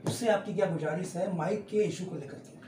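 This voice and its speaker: A man's voice: sits high, fairly quick, far from the mic, noisy background. A male reporter asking long, rambling questions full of fillers. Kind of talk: press interview questions. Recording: echoey, off-mic, crowd behind.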